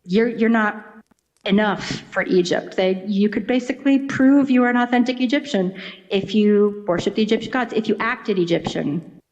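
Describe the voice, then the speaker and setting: A woman's voice: mid-range voice, moderate pace, darkish tone. A woman speaking as an expert guest, explaining things conversationally and acting out little imagined quotes as she goes. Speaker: Egyptologist, interview guest. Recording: dull, lo-fi audio.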